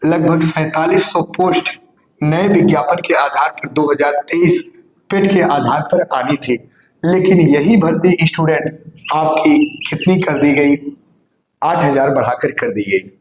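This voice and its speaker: A man who teaches exam coaching gives students a news update in an earnest voice. He sounds slightly hoarse and keeps urging them to listen carefully. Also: fairly high pitch, a little slow. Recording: roomy echo.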